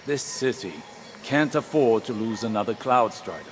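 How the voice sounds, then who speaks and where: An actor playing a wise, stern old warlock scholar in a video game, speaking in a measured, formal, grave way. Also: male voice, mid-range voice, natural voice. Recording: echoey game audio with faint noise.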